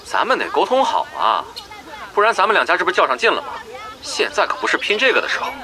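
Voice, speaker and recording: A man's voice: high voice, huge pitch swings, fast talker, a crowd in the background. A man playing a wary, shrewd online game guild leader in an audio drama.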